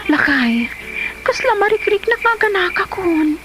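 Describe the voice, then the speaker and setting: A woman's voice: very high-pitched, big pitch swings, normal speed, over music, low-quality recording. A woman playing a stubborn, playful pregnant wife in an Ilocano comedy drama, insistent and wheedling, with an Ilocano Filipino accent. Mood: urgent, exclaiming. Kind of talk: Ilocano comedy radio-style drama acting.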